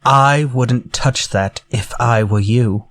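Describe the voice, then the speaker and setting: An actor playing a menacing, politely theatrical murderer in a retro-style radio drama. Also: male voice, medium pitch, a bit bright, dry recording. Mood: coolly menacing. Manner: courtly, measured, theatrical. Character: menacing murderer from a story, politely coaxing then vengeful.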